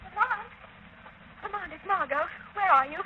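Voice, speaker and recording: A woman's voice: extremely high pitch, lively intonation, normal speed, pushed and bright, highs cut off, a little hiss or hum, heavily acted. An actress playing a sleuth's anxious, loyal female companion, with theatrical 1930s radio delivery on an old broadcast. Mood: hushed, worried. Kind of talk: 1930s radio mystery acting.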